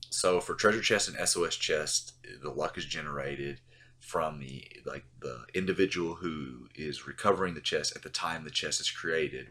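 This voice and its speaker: A man's voice: extremely low pitch, sharp tone. A man with a stuffy voice from getting over the flu, explaining a game mechanic in a loose, rambling voice-over full of fillers. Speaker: game guide host.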